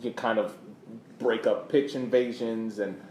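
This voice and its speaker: Man, podcast host, lowish voice, casual sports talk, roomy echo, light background noise. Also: steady tone, unhurried, mellow.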